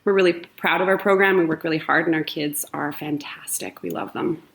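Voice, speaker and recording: Woman, low voice, middle-aged drama teacher, enthusiastic, chatty with ums, podcast conversation. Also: steady tone, a little fast, creaky, a bit bright. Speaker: high school drama teacher.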